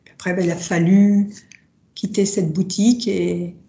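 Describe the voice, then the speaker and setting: A woman's voice: medium pitch, level tone, measured pace, gravelly, warm tone, a little hiss or hum. Woman, Italian as first language, hesitant and reflective, roomy echo, dull lo-fi sound. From France, of Italian parents. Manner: hesitant, many fillers, reflective. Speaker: drum maker, guest. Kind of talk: casual interview, personal story.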